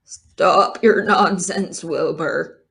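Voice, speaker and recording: Woman as old sheep, stern, reproving, unhurried, matter-of-fact, children's storybook. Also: fairly low pitch, vocal fry, a bit bright, breathy edge, heavily acted. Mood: stern, disapproving. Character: stern, scolding oldest sheep of the barn. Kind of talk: children's storybook read-aloud.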